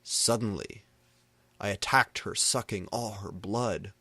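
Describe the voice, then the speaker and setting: A man reads fan fiction aloud as a deadpan narrator. His voice is fairly low and his pace very slow, in a dry recording. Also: breathy edge, no echo. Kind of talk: dramatic reading of comic fan fiction.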